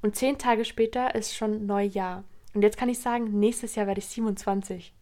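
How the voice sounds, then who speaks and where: A woman's voice: mid-range voice, steady tone, moderate pace, warm tone. A woman in her twenties co-hosting a podcast, chatting casually, a bit hesitant and self-mocking. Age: 20s. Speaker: podcast co-host. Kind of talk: casual chat.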